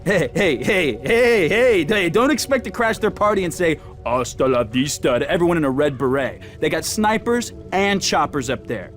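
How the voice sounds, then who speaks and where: A cocky American resistance fighter in a video game. His voice is on the high side and swings widely in pitch. He talks in brash, casual street slang, with soft music behind. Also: sing-song, normal speed, few pauses. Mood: brash, fired up. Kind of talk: video game cutscene dialogue.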